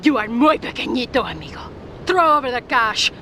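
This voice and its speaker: A mocking cartel villainess in a crime game. Her high voice swings widely as she taunts, over rumbling noise. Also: big pitch swings, fairly quick. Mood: gloating. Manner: sneering, taunting.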